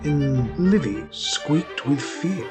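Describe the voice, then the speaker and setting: A man's voice: on the high side, animated, very slow. A man narrating a scripted story as a storyteller. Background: music.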